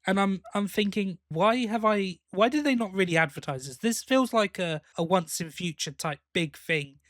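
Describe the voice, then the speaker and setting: Higher-pitched man in casual podcast chat, dry sound. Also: normal speed, a bit bright, no room sound. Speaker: podcast co-host. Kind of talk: casual chat about audio dramas.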